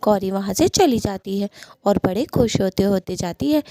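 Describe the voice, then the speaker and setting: Mellow female cartoon storyteller. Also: mid-range voice, expressive, normal speed, no room sound. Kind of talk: scripted cartoon story narration.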